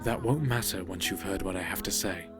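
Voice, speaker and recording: A man playing a formal, insistent, mysterious gentleman in his forties in an audio drama, with polished, courtly speech. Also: deep voice, a little fast, lightly acted. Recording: prominent music bed behind the voice.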